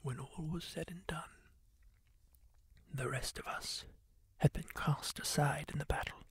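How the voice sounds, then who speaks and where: A man voicing a kindly masked healer-warrior, telling tales in a slow, breathy ASMR whisper close to the mic. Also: a little high, fairly even intonation, no echo. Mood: hushed. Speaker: ASMR roleplayer. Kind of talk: whispered ASMR roleplay monologue.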